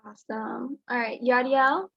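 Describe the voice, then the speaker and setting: A woman's voice: a little high, a little slow. A woman hosting casual introductions on a group call. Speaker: meeting host. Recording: muffled video-call audio.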